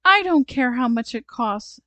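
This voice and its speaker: A woman's voice: fairly high pitch, lively intonation, measured pace, fairly bright tone, no room sound, voice pushed up. A woman voicing a stubborn, lovestruck, dramatic little girl in a storybook, speaking insistently. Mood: determined. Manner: insistent, emphatic.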